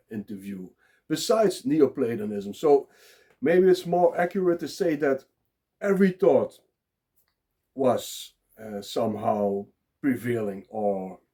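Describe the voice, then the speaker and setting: A man with a Dutch accent, talking live and unprepared as a blogger, pausing often with filler sounds. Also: medium pitch, mellow. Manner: halting, many filler sounds. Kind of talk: unscripted solo talk.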